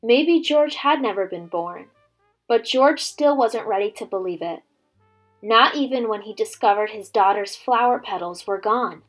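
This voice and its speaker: A woman reading a children's story aloud as a teacher would, with dramatic, expressive delivery. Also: fairly high pitch, measured pace, poor audio. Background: background music.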